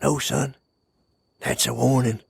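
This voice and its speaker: A man playing a frantic old prospector with a rural Southern American accent and a gravelly, cracking voice in an audio drama. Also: mid-range voice, flat delivery, slow pace, noisy background. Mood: grave warning. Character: frantic old male prospector guarding a secret. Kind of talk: scripted audio drama acting.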